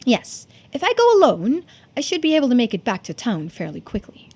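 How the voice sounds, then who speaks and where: A woman's voice: a little high, wildly swooping pitch, fairly quick, darkish tone, husky, voice pushed up, heavily acted. A woman voicing a polite, composed young video game character who speaks in a formal, precise way. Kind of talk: video game dialogue read-aloud.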